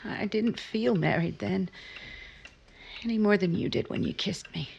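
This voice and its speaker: A woman playing a disillusioned, wistful married woman in a 1950s-style radio drama, breathy and husky, often dropping to a half-whisper. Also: medium pitch, a little slow, a little mellow, muffled recording, a little hiss or hum. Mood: pointed. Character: disillusioned married woman, wistful, bruised, romantic, gently challenging.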